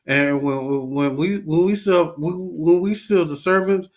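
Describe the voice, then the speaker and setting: A man reading scripture aloud and preaching in a casual talk, stumbling, repeating words and filling gaps with "uh". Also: a little high. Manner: halting, many repeats and fillers.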